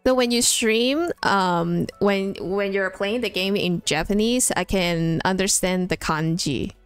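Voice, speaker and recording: A woman's voice: mid-range voice, unhurried, smooth, no echo. A young woman whose first language is Chinese, chatting casually while she streams a game, with many fillers and restarts. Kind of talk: casual chat while gaming. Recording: faint music behind.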